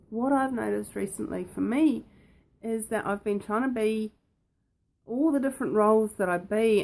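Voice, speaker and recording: A woman with a croaky voice talks straight to the viewer as a host. Her manner is playful and repetitive, and she keeps asking questions. Her pitch sits in an ordinary middle range. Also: a little mellow. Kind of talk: casual talk to camera.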